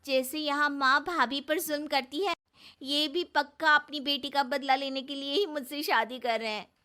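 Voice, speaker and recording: Woman as an anxious, high-voiced young sister-in-law. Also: fairly even intonation, normal speed, sharp tone. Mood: fearful. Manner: anxious, pleading. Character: frightened young sister-in-law, earnest and outspoken. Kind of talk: Hindi cartoon moral story.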